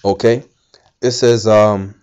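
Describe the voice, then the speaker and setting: A man's voice: lowish voice, fairly even intonation, takes it slowly, darkish tone, clear, resonant tone, highs cut off. A man giving informal scripture teaching, rambling with many fillers and restarts. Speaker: Bible teacher.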